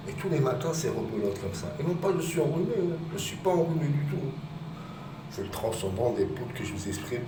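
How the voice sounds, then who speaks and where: A man filming himself on a phone outdoors near his home, rambling and agitated, rising to shouted accusations. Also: medium pitch. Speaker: vlogger. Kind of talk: rambling phone-filmed rant. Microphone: phone camera. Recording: roomy distant outdoor phone recording with loud ambient noise.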